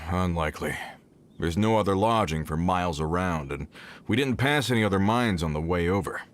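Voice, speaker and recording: Low, grave older mine foreman. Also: animated, moderate pace, a little hiss or hum. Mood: weary, grim. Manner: terse, grave. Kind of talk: video game voice acting.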